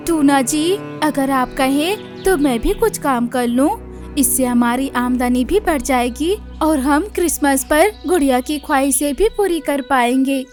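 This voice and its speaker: Woman as a caring, high-voiced mother bird. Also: measured pace, darkish tone. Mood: gentle, offering. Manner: polite, pleading. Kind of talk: Hindi cartoon story voice acting. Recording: music behind the voice.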